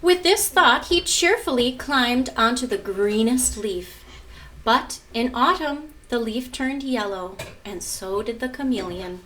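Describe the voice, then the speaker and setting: A woman with a bright, fairly high voice speaks slowly and instructively, like a teacher guiding children through a lesson or picture book. She sounds some way from the mic, with noise behind her. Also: lively intonation, far from the mic. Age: adult. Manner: instructive, prompting children with questions. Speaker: art teacher and mother. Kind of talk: lesson narration and picture book read-aloud. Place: at home.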